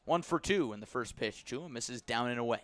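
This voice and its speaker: A man with an American accent calling live baseball play-by-play on the radio, measured between pitches and rising on big plays. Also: expressive, a bit bright.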